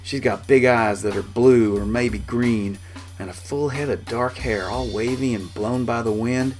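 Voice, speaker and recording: A man's voice: medium pitch, unhurried, darkish tone, faint music behind. A man reading a first-person novel as a folksy cowboy storyteller, in rustic dialect. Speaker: author reading his own novel.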